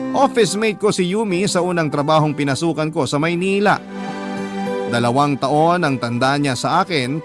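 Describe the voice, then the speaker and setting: Casting: actor as sincere man from recounting past love, slightly high voice, radio drama narration over clear background music. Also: close to an everyday voice. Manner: earnest, reflective storytelling. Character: sincere, devoted male letter-sender recounting past love.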